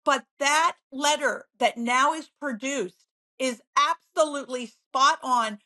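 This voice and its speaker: A woman real estate agent as an interview guest, bubbly and upbeat, telling stories with lively enthusiasm. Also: high voice. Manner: bubbly, upbeat, quick to laugh. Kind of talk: conversational interview.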